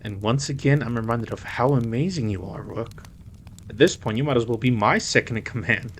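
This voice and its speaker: Man acting as mob boss, male, mid-pitched voice, wry, protective, easygoing, audio drama, faint background noise. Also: a little fast, natural voice. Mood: friendly, teasing.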